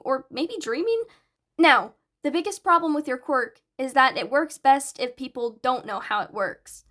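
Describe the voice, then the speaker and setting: Woman playing eager nerdy teen boy in an audio story, highish voice, explains stuff earnestly. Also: a bit bright. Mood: amazed. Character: eager, bright, analytical teenage boy hero fan. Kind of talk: audiobook character dialogue.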